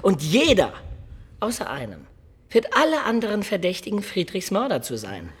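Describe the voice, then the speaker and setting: An actor playing a wry medieval adventurer who recounts his quest in a radio drama, in an ironic, expressive storytelling manner. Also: high voice, moderate pace, slightly rough, exaggerated voice. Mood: declamatory, dramatic. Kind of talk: German radio drama narration.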